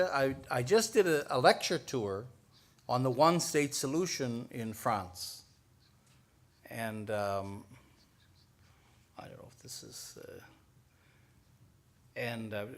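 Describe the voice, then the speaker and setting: A man's voice: medium pitch, bright, forceful tone. An older man, answering an audience question at a talk, hesitant at first with many restarts, then explaining earnestly. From United States. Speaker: guest speaker.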